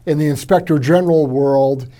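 A man hosting an interview podcast, halting and often repeating words with fillers as he introduces and questions his guest. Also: on the high side, mellow. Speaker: podcast host.

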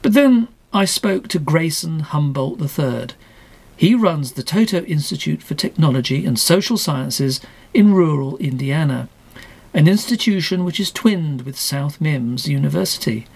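Casting: male actor as earnest, courteous podcast reporter, highish voice with vocal fry, scripted audio drama. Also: expressive, measured pace, darkish tone, exaggerated voice. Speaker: podcast host.

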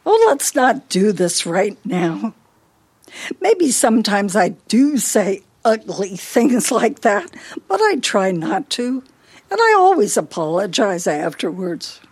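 An actress with an American accent playing a bossy, forgetful, scolding older wife in a radio play. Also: female voice, medium pitch, big pitch swings, slow, darkish tone, breathy edge, exaggerated voice. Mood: weary, bickering. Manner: scolding, fussy.

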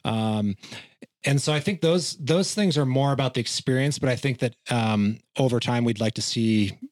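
Halting man, dry close sound. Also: medium pitch, darkish tone, no echo. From Montana. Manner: halting, repeats words, many fillers. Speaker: fishing guide. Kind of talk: podcast conversation.

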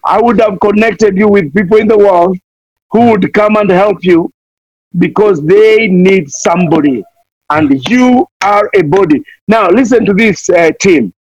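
A man's voice: high-pitched, unhurried, dry recording. A man from Kenya, preaching fervently as a missionary guest, pleading again and again and playing on words. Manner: impassioned, repeats pleas, wordplay. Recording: dull lo-fi dry sound.

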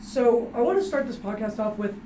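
A woman's voice: on the high side, lively intonation, a little fast, warm tone. Young woman, student podcast host, informal and upbeat; off-mic, roomy, noisy sound. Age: young adult. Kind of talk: casual interview hosting. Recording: echoey room, far from mic, noisy.

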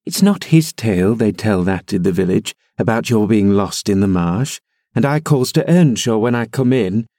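A man's voice: fairly low pitch, expressive, normal speed, warm tone, no room sound, clean studio sound. A man voicing a gossipy older female servant who talks in rustic dialect. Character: chatty older female servant, country-spoken.

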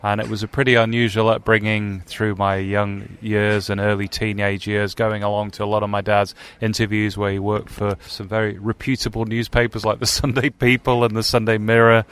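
A man in his late forties with a southern English accent, a journalist guest telling anecdotes in a relaxed radio interview and laughing through his stories. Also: lowish voice, brisk, few pauses, clean tone. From England. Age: late 40s.

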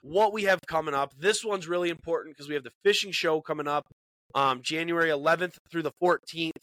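Man, congested, upbeat podcast host, slightly high voice, bright tone. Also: dry recording, rough recording. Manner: upbeat, chatty, repeats words as he talks. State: congested from a cold. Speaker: podcast co-host, bait shop owner. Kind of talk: casual podcast chat. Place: small studio in a bait shop.

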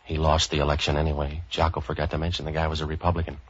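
Cynical American hard-boiled hero, very low voice, deadpan narration, dull vintage radio sound. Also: male voice, racing. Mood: wry, matter-of-fact. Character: street-wise, cynical male boat renter for hire. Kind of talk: 1940s radio detective drama narration and acting. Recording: dull 1940s radio recording.

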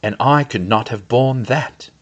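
Man voicing a gentle, weary gentleman hero. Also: medium pitch, unhurried, lo-fi sound, lightly acted. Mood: grave, resolute. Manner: earnest, well-spoken, formal phrasing. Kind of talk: audiobook-style fantasy storytelling.

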